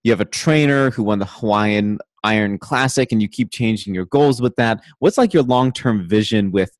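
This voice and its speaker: A man's voice: fairly low pitch, moderate pace, dry recording, clean studio sound. A man in casual podcast chat, joking often and restarting his sentences. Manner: restarts and stammers, laughs a lot. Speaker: podcast co-host.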